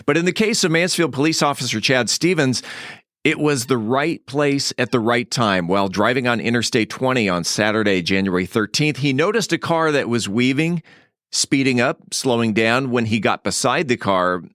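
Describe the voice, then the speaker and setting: A man's voice: medium pitch, lively intonation, moderate pace, fairly bright tone, dry recording, clean studio sound. A man hosting an interview podcast, moving between scripted introductions and questions to his guest.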